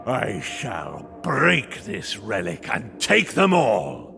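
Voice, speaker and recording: An actor playing an arrogant undead ghost knight in a fantasy game, with a gravelly voice and grandiose, menacing proclamations. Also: male voice, lowish voice, wildly swooping pitch, very slow, music in the background, full character voice. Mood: indignant fury. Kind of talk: villain acting in a fantasy game cutscene.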